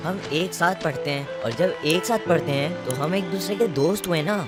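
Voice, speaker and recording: Casting: woman playing an earnest animated schoolboy, conversational and a bit boastful, low for a female voice, music underneath. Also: moderate pace, close to an everyday voice. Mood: kindly, earnest. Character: young schoolboy, friendly, chatty, boastful schemer.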